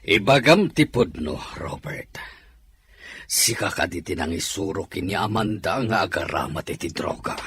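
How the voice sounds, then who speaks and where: Man acting a raspy, low-voiced stern father. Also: lively intonation, normal speed, fairly bright tone, full character voice. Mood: stern, accusing. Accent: Ilocano. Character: stern father confronting his son-in-law. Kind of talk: amateur Ilocano audio drama acting.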